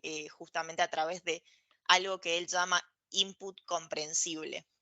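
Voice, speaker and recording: A woman's voice: on the low side, fairly even intonation, a little slow, sharp tone, no echo. A woman, explaining her experience as a podcast guest and checking in with tag questions. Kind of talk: casual conversation, explaining. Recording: dry, dull lo-fi audio.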